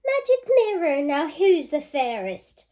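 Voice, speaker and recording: Woman playing a commanding, high-pitched mean storybook queen. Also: big pitch swings, slow, warm tone, full character voice. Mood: vain, eager. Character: mean vain stepmother queen, cruel and bossy.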